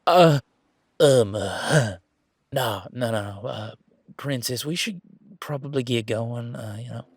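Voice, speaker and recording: A man's voice: medium pitch, unhurried, breathy edge. A man playing a polite, flustered werewolf boyfriend in a romantic audio drama, talking casually with nervous stammers. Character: Gavin, flustered, polite, excitable young male werewolf boyfriend.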